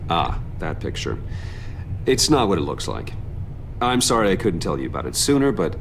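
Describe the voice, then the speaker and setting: A man's voice: low-pitched, big pitch swings, moderate pace, slight background noise. A man playing an earnest, guarded businessman hero with a firm, serious manner in a video game. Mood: earnest, defensive.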